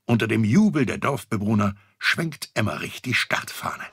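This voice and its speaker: A man's voice: lowish voice, expressive, moderate pace, fairly bright tone, breathy edge, dry recording, studio quality, full character voice. A middle-aged man narrating a children's audio adventure as a genial storyteller. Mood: genial, wry. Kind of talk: scripted narration.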